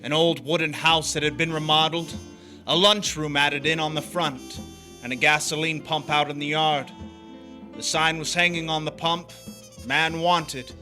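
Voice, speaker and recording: Bright-voiced hardboiled noir drifter. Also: male voice, on the high side, fairly even intonation, moderate pace, soft background music. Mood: wry, brooding. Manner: terse, wry, confiding. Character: cynical young drifter narrating his own downfall, hardboiled. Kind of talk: 1940s radio drama noir narration.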